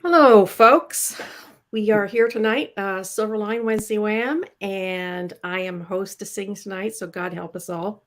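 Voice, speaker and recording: A woman's voice: mid-range voice, a little slow, a little hiss or hum. Chatty middle-aged American woman hosting. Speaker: host. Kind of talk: casual live show hosting.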